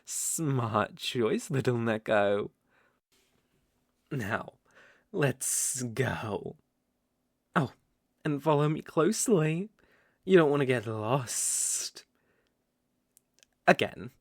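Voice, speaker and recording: A man voicing a coaxing, possessive naga monster in a roleplay ASMR audio drama, with a creaky, teasing delivery. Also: mid-range voice, animated, no room sound, clean studio sound, full character voice. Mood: smug, coaxing. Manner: slow, coaxing, teasing with soft chuckles. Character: smooth-talking male naga monster, coaxing, possessive predator.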